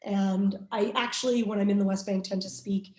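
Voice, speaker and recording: A woman's voice: mid-range voice, fairly even intonation, moderate pace, darkish tone, echoey room. A woman from Canada, a journalist answering interview questions candidly, with many restarts and fillers. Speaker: journalist guest.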